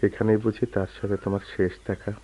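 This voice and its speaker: A man's voice: on the low side, level tone, fairly quick, close to an everyday voice. An actor playing a lonely, wounded man who flees others' pity, with melancholy, poetic, theatrical delivery in a radio drama. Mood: subdued, melancholy. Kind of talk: Bengali radio drama acting. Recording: dull, lo-fi, noisy old radio recording.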